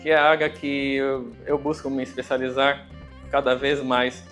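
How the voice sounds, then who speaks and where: A man from Angola giving a conference talk, with an Angolan accent touched by Brazilian Portuguese, speaking hesitantly with many fillers and pauses. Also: mid-range voice, monotone, a bit bright, soft background music. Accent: Angolan Portuguese with some Brazilian. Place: conference venue.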